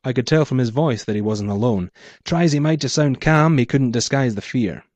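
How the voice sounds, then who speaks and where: A man's voice: mid-range voice, a little fast, darkish tone, dry recording. A man reading his own noir short story aloud as scripted narration. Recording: dull muffled lo-fi audio.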